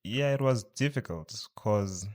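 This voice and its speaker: A young man from South Africa's Venda region, a native Tshivenda speaker, being interviewed as a podcast guest. He speaks softly and hesitantly, with many pauses. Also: lowish voice, expressive. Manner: soft-spoken, hesitant, with fillers. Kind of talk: casual interview chat.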